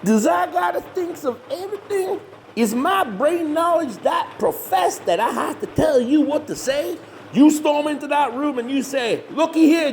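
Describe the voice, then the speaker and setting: Bossy high-pitched hillbilly monster hunter. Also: male voice, a little hiss or hum, full character voice. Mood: bossy, exasperated. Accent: rural Southern US, hillbilly dialect. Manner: folksy, self-righteous, glib. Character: bossy, shameless hillbilly monster hunter, scheming older brother. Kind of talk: comedy horror audio drama acting.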